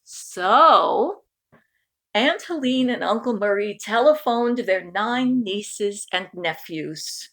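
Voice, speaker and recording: A woman reading a children's picture book aloud in an expressive storytelling manner, with informal asides. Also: medium pitch, slow pace. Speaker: storybook reader.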